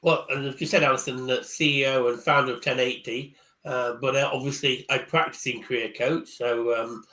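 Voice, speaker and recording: Man, career coach, halting, many fillers, echoey room. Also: medium pitch, steady tone, bright, forceful tone. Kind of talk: live panel discussion. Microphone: video call.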